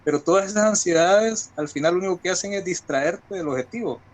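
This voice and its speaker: A man with a Salvadoran accent talks as a writer being interviewed. His voice sits a little higher than average. He is colloquial and reflective, building his points from examples. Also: fairly quick, slight background noise. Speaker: guest writer. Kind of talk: conversational interview talk.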